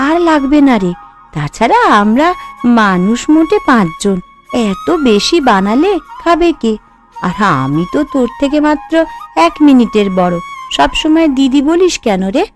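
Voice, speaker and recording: Woman playing a high, animated young daughter-in-law. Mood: cheerful. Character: young twin daughter-in-law, chatty, deferential, homely. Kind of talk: Bengali cartoon voice acting. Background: background music.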